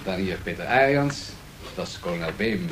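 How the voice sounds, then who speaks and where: A man's voice: low-pitched, animated, fairly quick. A male actor playing a courteous radio host, politely and sociably making introductions in naturalistic dialogue. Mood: courteous, welcoming. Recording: faintly noisy 1970s radio studio tape.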